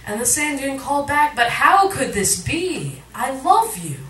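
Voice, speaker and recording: A woman reciting poetry at a live reading, with dramatic, deliberate phrasing. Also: sits high, sing-song, fairly bright tone. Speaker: poet, featured reader. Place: literary cafe, indoors, live reading. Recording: echoey room recording from far off, audience noise. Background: cafe audience sounds.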